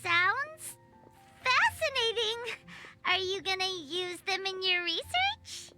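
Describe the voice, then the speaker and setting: A woman voicing a tiny floating female companion in a video game, excitable and whiny, talking about herself by name. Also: very high voice, slow pace, full character voice. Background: game music.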